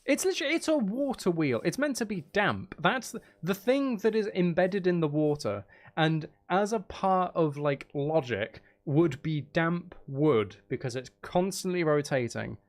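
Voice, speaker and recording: A man with a gravelly edge, chatting casually to viewers as he plays a game, rambling and trailing off as he thinks aloud. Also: fairly high pitch, animated, unhurried, hardly any pauses. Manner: rambling, trailing off, muttering to himself. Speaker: streamer and audio creator chatting with viewers. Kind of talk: casual livestream gameplay chat.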